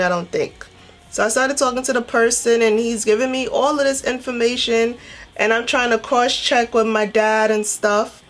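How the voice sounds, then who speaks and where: A woman from Trinidad and Tobago chatting casually as a beauty vlogger, telling a personal story in an animated, conversational way. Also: on the high side. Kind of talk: casual chatty storytelling while doing makeup.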